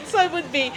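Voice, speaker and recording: A woman from Jamaica telling personal stories as an interview guest, in a deliberate, expressive way. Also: extremely high pitch. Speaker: interview guest, founder of a philanthropy organization.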